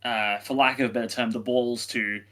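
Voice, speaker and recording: A man's voice: mid-range voice, steady tone, a little fast, bright, forceful tone. A man co-hosting a review podcast in casual, opinionated discussion, emphatic, sarcastic and prone to swearing. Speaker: podcast co-host reviewing audio dramas.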